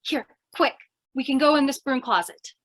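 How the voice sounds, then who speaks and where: A woman's voice: high voice, moderate pace, a bit bright. A woman playing an eager, overconfident, bossy reporter in old-time radio style, gushing and theatrical. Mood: bossy urgency. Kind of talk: old-time radio comedy-thriller acting.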